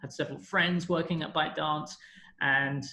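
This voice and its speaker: A man's voice: on the high side, fairly even intonation, moderate pace, pushed and bright. A British man from London in his thirties, a podcast guest who tells his story in a rambling, self-correcting way. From London, England. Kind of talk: casual storytelling interview. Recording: echoey room.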